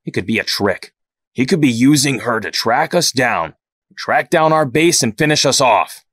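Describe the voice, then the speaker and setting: A man's voice: mid-range voice, animated, normal speed, a bit bright, breathy edge, no echo, studio quality. A man playing a hardened, distrustful resistance fighter in an audio drama, confrontational and sarcastic. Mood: angry, distrustful.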